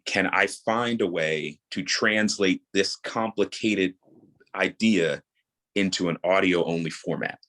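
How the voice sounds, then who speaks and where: A man with a notably low-pitched voice speaks as an interview guest. He explains things in a measured, deliberate, reflective way, as a creator describing his craft. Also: fairly bright tone. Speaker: guest, audio drama creator and voice actor. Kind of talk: reflective podcast interview talk.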